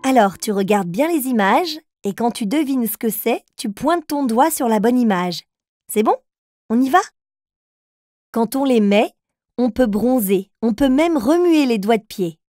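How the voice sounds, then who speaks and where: A woman playing an encouraging grown-up who puts riddles to small children in a playful, clearly spoken way. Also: on the high side, expressive, a little slow, dark tone, no echo, studio quality. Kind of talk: children's riddle game narration.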